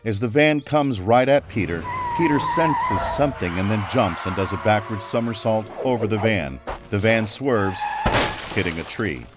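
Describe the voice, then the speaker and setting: An actor serving as the measured narrator of a scripted action radio drama. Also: male voice, fairly low pitch, lively intonation, loud music behind the voice, lightly acted.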